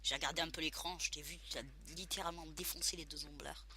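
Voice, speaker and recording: A man's voice: a little high, steady tone, fast. A man with a cold, chatting and joking as he hosts a video of a game played with a friend. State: stuffy from a cold. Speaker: gaming video host. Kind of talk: casual gaming commentary with a partner.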